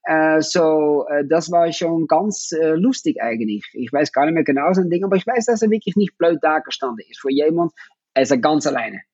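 Husky Dutch man in his fifties. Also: fairly high pitch, expressive, moderate pace, darkish tone, no room sound, highs cut off. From Netherlands. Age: 50s. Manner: hesitant, many fillers and restarts. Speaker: guest, parent of athletes. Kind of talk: casual conversation.

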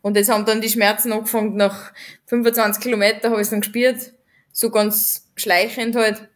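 A woman answers as a podcast guest. Her voice sits at an ordinary mid pitch, and she talks plainly and briefly. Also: level tone, normal speed. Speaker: podcast guest, trail runner. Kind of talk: casual interview chat.

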